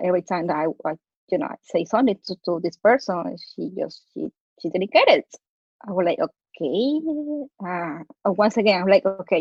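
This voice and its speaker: A woman's voice: fairly low pitch, husky, no echo, muffled recording. A woman from Ecuador with a Latin American Spanish accent, a guest telling a personal story in a casual interview, with many restarts. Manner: storytelling, hesitant restarts, acts out quotes. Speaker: guest, para-rower.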